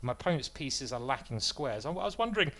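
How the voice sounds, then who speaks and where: A man talks through his chess game live, weighing moves out loud and poking fun at himself between lines. His voice sits at an ordinary middle pitch for a man, and his delivery is loose and chatty rather than polished. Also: big pitch swings, fast talker. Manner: self-deprecating, joking, thinking aloud about moves. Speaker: chess streamer commentating his own games.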